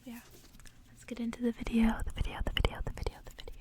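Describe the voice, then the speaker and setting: A woman whispering close to the mic in a hushed, soothing ASMR style, as if reading and chatting someone to sleep. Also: fairly high pitch, monotone. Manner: hushed whispering, soothing slow repetition of phrases. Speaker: ASMR host and book reader. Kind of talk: whispered ASMR, casual chat and bedtime story reading. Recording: faint background noise.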